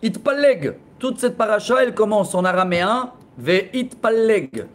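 A man in his forties, a rabbi teaching a lively Torah class in a classroom, telling stories with laughter and gestures into a close webcam. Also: high-pitched, moderate pace. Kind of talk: lively storytelling lesson. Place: classroom with bookshelves, indoors. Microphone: laptop webcam at close range.

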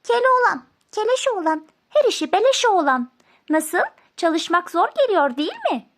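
A cheeky little mouse from a storybook, voiced by a woman, pitched very high and pushed louder. It taunts in a sing-song way, like a teasing gossip in a folk tale. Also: lively intonation, moderate pace. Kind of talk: storybook character voice.

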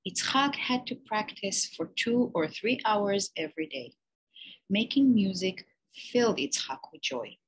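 A woman with a soft, breathy voice reads a children's picture book aloud in a middle-pitched register. She talks like a teacher at story time and leans on key words with bright jumps in pitch. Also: poor audio. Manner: expressive storytelling with lifted emphasis on key words.

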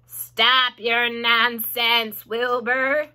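Woman plays old sheep in a storybook: stern, disapproving, emphatic, sharp-toned. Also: on the high side, flat delivery, heavily acted. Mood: disgusted, scolding. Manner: scolding, slow emphatic reproof.

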